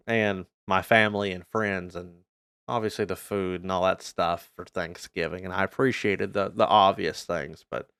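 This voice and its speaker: Clear-voiced North American man, casual vlogger. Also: on the low side, no echo, clean studio sound. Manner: informal, rambling, earnest. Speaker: gaming YouTuber and streamer. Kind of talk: casual channel update vlog.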